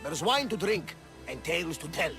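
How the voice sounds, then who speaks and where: A man playing a hearty, loyal, battle-scarred ship's lieutenant in a cinematic video game, with a gravelly voice. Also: on the high side, very animated, normal speed, over music. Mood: wry, storytelling.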